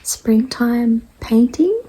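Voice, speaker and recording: Female vlog host, slow and rambling, husky and croaky with a cold, casual delivery. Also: a little high, expressive, warm tone, poor audio. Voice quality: dry, croaky. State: recovering from a cold, throat-clearing. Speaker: artist hosting a weekly message show. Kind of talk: casual vlog chat to followers.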